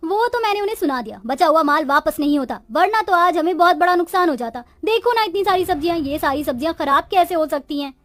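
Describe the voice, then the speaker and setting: Poor village housewife for a cartoon moral tale, played by a woman: high voice, dramatic, complaining, close dry recording. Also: animated, quick pace, hardly any pauses, a bit bright, no echo, faint music behind. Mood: indignant. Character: village women of a poor family, resourceful daughters-in-law and their mother-in-law. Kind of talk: Hindi cartoon moral-story dialogue.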